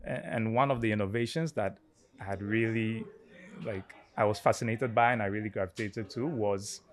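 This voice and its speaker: Ghanaian man, 30s, low-pitched founder guest, reflective and conversational. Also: steady tone, moderate pace. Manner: thoughtful, with fillers and restarts. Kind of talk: casual interview chat.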